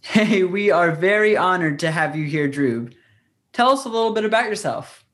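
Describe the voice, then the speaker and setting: A young man co-hosting a podcast, reading the intro and questions in a measured, polished way. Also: high-pitched, lively intonation, fairly bright tone. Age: young adult. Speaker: podcast co-host.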